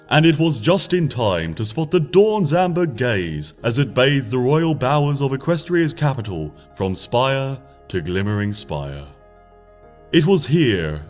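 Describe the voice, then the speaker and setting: Animated man narrating a storybook. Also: medium pitch. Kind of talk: fanfiction audiobook narration. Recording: soft music bed behind.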